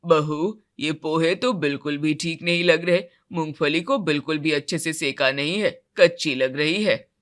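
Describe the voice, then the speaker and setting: Blunt, judgmental male relative for a family audio drama, dropped pitch , performed by a woman. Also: moderate pace, hardly any pauses, close to an everyday voice. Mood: critical. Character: outspoken, judgmental man of the family. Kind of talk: acted dialogue in a Hindi audio story.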